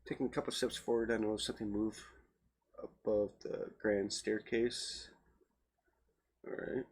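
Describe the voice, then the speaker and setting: A man's voice: on the low side, level tone, normal speed, darkish tone, breathy edge. A man reading a game's text aloud as a let's play host, stumbling here and there and adding casual asides. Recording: very noisy home recording.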